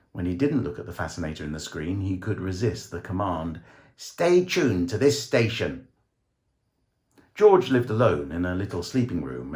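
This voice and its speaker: Low-voiced man narrating dramatically, roomy echo. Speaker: short story reader. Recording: roomy, echoing voice recording.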